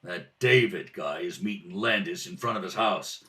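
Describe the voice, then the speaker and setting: A man's voice: low-pitched, moderate pace, bright, forceful tone. A man playing a tough, scheming 1930s nightclub owner in a radio crime drama, with clipped, slangy wise-guy delivery. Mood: businesslike, conspiratorial. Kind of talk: old-time radio crime drama acting. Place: indoors at a kitchen table.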